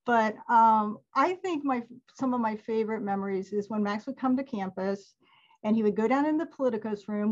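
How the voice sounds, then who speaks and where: Chatty woman on a muffled video call. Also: a little high. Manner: warm, chatty, laughs often. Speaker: alumni event presenter. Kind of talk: casual webinar talk.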